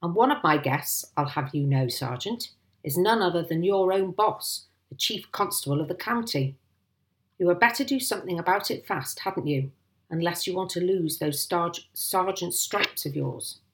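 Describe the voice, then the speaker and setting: A woman's voice: fairly low pitch, lively intonation, moderate pace. A woman voicing a furious, haughty male landowner in a storybook, blustering, bullying and demanding.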